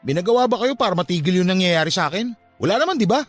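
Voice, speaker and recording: A man's voice: high voice, lively intonation, very fast, faint music behind. A man playing a troubled, stubborn, grieving young nephew in an emotional Tagalog radio drama. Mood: defiant. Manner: emotional, questioning.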